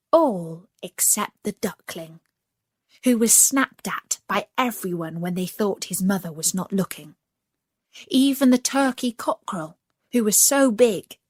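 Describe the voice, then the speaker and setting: A woman narrating a scripted children's story in a measured, expressive manner. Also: mid-range voice, no room sound, studio quality.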